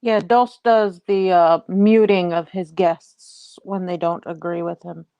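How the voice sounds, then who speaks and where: A woman's voice: fairly low pitch, steady tone, slow pace, no room sound, highs cut off. A woman in a casual political discussion, speaking bluntly as a panelist.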